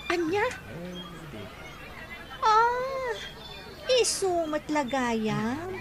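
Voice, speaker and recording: A woman's voice: very high voice, very animated, extremely slow, a crowd in the background, full character voice. An actress playing a hardworking young woman who talks back earnestly.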